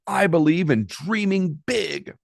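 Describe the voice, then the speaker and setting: A man's voice: sits high, slow, creaky, no echo, studio quality, pitched above the performer's own voice, heavily acted. A man voicing a hopeful, determined young female pig who speaks earnestly in a children's storybook.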